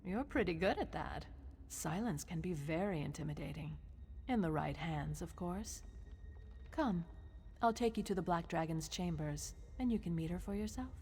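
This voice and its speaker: An actress playing a poised, sly woman of a warrior order in a video game, with measured, silky, teasing speech. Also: lowish voice, animated. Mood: smooth, teasing. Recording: long reverb, loud ambient noise.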